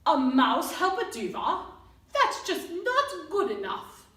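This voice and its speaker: A woman voicing a haughty, demanding female opera diva seal from a storybook, imperious and loud. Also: high-pitched, huge pitch swings, takes it slowly, full character voice. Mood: scornful. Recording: roomy echo, distant mic.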